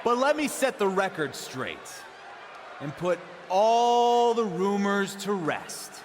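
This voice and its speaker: An actor playing a cocky champion wrestler cutting an emphatic, halting promo on the microphone in a video game. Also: male voice, high-pitched, very animated, full character voice. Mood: triumphant. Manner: emphatic, halting phrases for effect. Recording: echoey arena PA with crowd noise.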